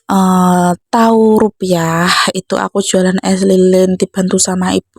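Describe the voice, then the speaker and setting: A woman's voice: medium pitch, a little mellow, no room sound, studio quality. A young woman narrates a home cooking vlog in a casual, chatty way, addressing viewers like friends.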